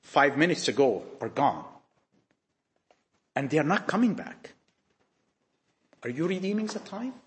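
A male preacher with a high voice that swings widely in pitch as he drives his points home. He fires rhetorical questions at the young people in front of him, repeating phrases and breaking off mid-sentence to start again. His voice rings around an echoey church. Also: very animated, unhurried, long pauses. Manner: emphatic, full of rhetorical questions.

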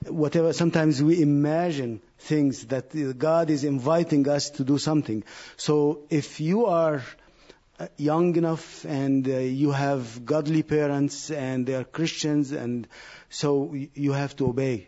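A young man in a group Bible discussion, earnestly thinking aloud with pauses. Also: fairly high pitch, fairly even intonation, dark tone. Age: young adult. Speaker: Bible study participant. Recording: muffled lo-fi recording.

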